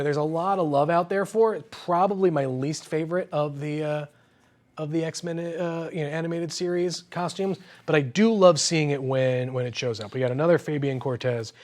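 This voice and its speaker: A man's voice: fairly high pitch, moderate pace, background noise. A man hosting an unboxing show in casual, slangy, enthusiastic chat full of exclamations.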